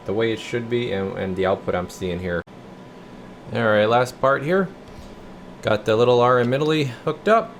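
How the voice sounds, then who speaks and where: A man's voice: mid-range voice, vocal fry, a little hiss or hum. A man giving a casual, folksy hands-on demonstration as a repair technician, sometimes muttering to himself as he works. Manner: informal, folksy, muttering to himself while working. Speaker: repair technician presenting a bench test video. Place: indoor radio repair workshop.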